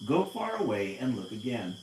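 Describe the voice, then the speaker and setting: A man voicing a huge, patient female whale in a storybook read-aloud, speaking slowly and calmly. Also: a little hiss or hum, close to an everyday voice. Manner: slow, booming and calm. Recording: echoey room with loud crickets.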